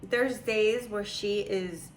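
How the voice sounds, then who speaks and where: Rambling, laughing young woman in a casual chat with her daughter, talking in a middle-pitched voice. There is a faint hiss of room noise behind her. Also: fairly even intonation, takes it slowly, poor audio. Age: young adult. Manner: chatty, rambling, laughs often. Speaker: mother.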